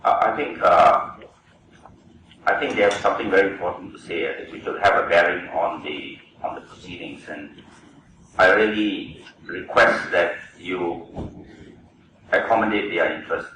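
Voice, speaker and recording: A man serving as counsel, addressing judges politely and haltingly in a formal hearing. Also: sits low, bright, forceful tone, a little hiss or hum. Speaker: counsel at a tribunal. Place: large courtroom. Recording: very echoey courtroom, from far off.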